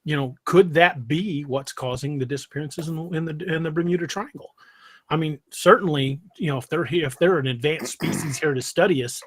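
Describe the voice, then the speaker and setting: A man with a husky voice chatting casually as a podcast host in a panel discussion. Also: fairly high pitch, brisk.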